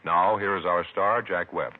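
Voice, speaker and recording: An American man announcing and pitching in 1950s radio style. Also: steady tone, moderate pace. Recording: muffled 1950s radio, music behind.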